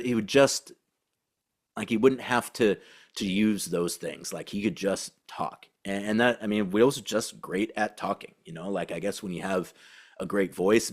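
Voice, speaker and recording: A man with a low-pitched voice talks as a sports podcast host. His delivery is casual and reflective, rambling along with halting phrases and many verbal fillers.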